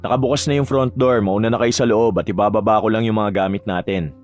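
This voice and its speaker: A woman narrator puts on a young man, a relaxed and affectionate boyfriend. The voice drops well below her own, in audio drama style with music behind. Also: a bass voice, quick pace, voice pushed down. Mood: caring. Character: easygoing young man, sweet helpful boyfriend.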